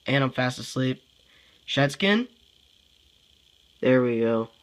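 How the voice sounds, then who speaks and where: A man's voice: mid-range voice, lively intonation, slow. A young man with a congested voice giving casual game commentary, thinking aloud, sighing often and sounding frustrated. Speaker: gameplay commentator.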